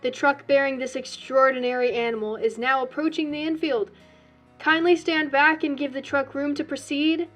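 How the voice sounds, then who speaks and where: A woman voicing a pompous, formal loudspeaker announcer at a country fair, in a storybook reading. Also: high-pitched, fairly even intonation, moderate pace, faint music behind, pitched above the performer's own voice.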